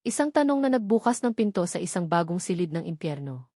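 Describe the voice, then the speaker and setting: A woman's voice: on the low side, sing-song, fast, dark tone, dry recording, studio quality. A woman reading a scripted romance story as a dramatic narrator.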